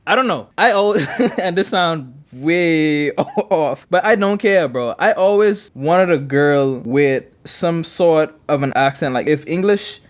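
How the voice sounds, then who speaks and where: A young man with a Bahamian accent, co-hosting a casual podcast chat in an animated, slangy way. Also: a little high, unhurried, hardly any pauses. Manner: animated, says bro often. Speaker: podcast co-host.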